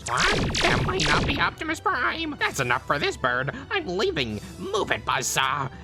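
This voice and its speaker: Sardonic, wildly swooping robot bird. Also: female voice, on the low side, huge pitch swings, measured pace, loud music behind the voice. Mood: alarmed, dismissive. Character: squawking robot bird, sardonic and prickly crew member.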